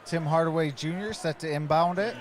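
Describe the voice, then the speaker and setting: A man's voice: a little high, a little fast, over music. A man giving casual, clipped play-by-play of a basketball game in terse short phrases. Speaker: livestream play-by-play caller.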